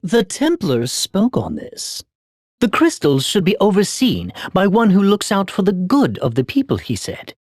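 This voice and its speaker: A troubled young knight from a video game, earnest and formal. His breathy voice moves slowly and swings widely in pitch, halting with dramatic weight. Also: huge pitch swings, a little mellow, no room sound, clean studio sound, full character voice. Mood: probing.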